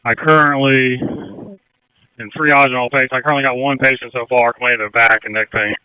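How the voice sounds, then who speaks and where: A man's voice: mid-range voice, steady tone, fairly quick, smooth, dry recording. A man in command at an emergency scene, giving matter-of-fact orders over two-way radio. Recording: phone-like, noisy two-way radio with clicks.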